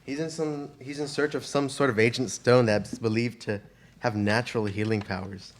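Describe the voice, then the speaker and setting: A man's voice: medium pitch, fairly even intonation, normal speed, a little hiss or hum, natural voice. A student actor playing an eager, easygoing male ex-treasure hunter and pilot in an amateur radio play. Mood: friendly. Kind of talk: amateur radio theater acting.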